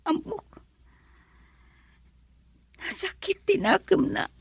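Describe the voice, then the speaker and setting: Hurt young wife in a radio drama, really high voice, begging her husband, dry sound. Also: big pitch swings, slow, gravelly, no room sound, heavily acted. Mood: sorrowful, hushed.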